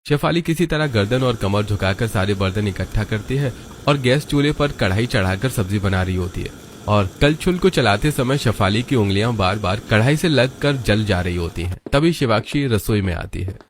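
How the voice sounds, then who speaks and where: Low-voiced man narrating a story. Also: lively intonation, moderate pace, a little hiss or hum.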